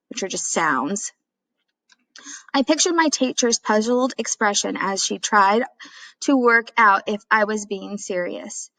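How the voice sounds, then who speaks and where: A woman reads a story aloud in a teacherly way, medium in pitch and expressive. She steps out of the narration to explain things plainly. The sound is dry, with very little room. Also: creaky, no echo, rough recording.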